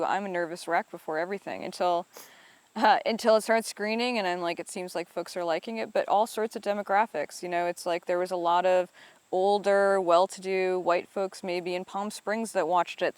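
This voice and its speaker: A woman from upstate New York talks through her editing process in a reflective, hedging way, her voice smooth and clear. The sound is dry and close, with no room around it. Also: medium pitch, no echo. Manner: thoughtful, hedging with "I think" and "you know". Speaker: documentary director and editor. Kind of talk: reflective interview answers.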